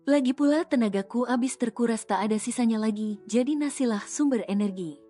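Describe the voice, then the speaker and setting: Playful blunt young wife, voiced by a woman, higher voice, mellow tone, light music behind. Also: a little fast, lightly acted. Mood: teasing. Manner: chatty, teasing, colloquial. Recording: soft music underneath.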